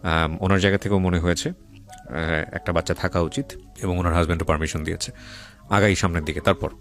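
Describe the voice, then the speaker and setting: Deep-voiced, fast-talking man hosting radio. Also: fairly even intonation, soft background music. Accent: Bangladeshi. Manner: mixes English phrases into Bengali; steers with questions and recaps. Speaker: radio show host. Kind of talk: interviewing a guest about a life story.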